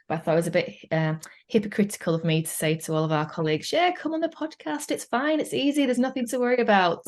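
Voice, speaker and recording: A woman with a distinctly low voice talks as a guest on a podcast. Her delivery is casual and candid, with plenty of self-deprecation. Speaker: communications manager, podcast guest. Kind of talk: casual chat.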